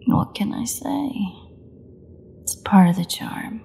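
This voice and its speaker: A woman's voice: medium pitch, animated, slow pace, vocal fry, dark tone, in a whisper, heavily acted. A woman playing a starving, imprisoned female vampire in an audio roleplay, taunting her captors with a cocky, sarcastic drawl. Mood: defiant sarcasm. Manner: sarcastic, teasing drawl with dramatic drops. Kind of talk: solo audio roleplay acting.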